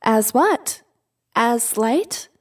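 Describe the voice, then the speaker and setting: A woman's voice: fairly high pitch, lively intonation, extremely slow, exaggerated voice. A woman playing a puzzled, inquisitive young crew member in an audio drama, hesitant and questioning.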